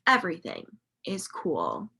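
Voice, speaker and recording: Female primary teacher doing an interactive storybook read-aloud; unhurried, slightly husky, expressive, with dramatic emphasis for young listeners. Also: low-pitched. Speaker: teacher reading a picture book aloud to young children. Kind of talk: interactive children's read-aloud, asking questions.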